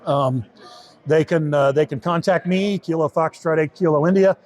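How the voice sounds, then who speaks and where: Explanatory man with a higher-pitched voice. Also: moderate pace. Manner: explanatory, step-by-step. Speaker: beta test supervisor giving a product demo. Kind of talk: informal spoken demo and explanation.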